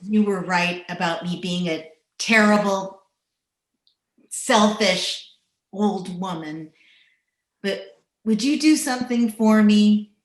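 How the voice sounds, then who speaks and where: A woman playing a bitter, hardened widow in an old-time radio western, speaking in a curt, deliberate manner. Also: mid-range voice, natural voice. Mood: subdued, remorseful. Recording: echoey room over video call.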